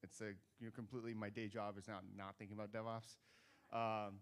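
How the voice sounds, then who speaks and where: Chatty Dutch man, smooth voice. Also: fairly low pitch, little pitch movement, fast. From Netherlands. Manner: chatty, self-deprecating, joking asides. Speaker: conference co-chair. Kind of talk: casual talk to a live audience. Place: conference room on stage.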